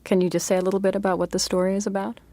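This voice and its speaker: A woman's voice: mid-range voice, flat delivery, very fast, warm tone, no room sound. A woman hosting a literary podcast, introducing authors and interviewing them as a fiction editor.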